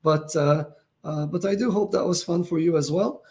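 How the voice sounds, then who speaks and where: A man's voice: on the high side, moderate pace, dark tone. A middle-aged man who is losing his voice and feeling tired, explaining technical topics in a casual way and thinking aloud with many fillers and restarts. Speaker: founder answering viewer questions.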